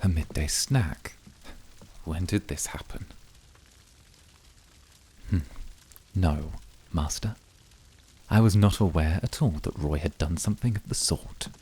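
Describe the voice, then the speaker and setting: A man playing a cool, controlled, seductive demon servant in a scripted ASMR roleplay, speaking in a calm, measured, husky voice. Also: deep voice, heavily acted. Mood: dry, seductive. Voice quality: husky, breathy. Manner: measured, calm, formal and intimate.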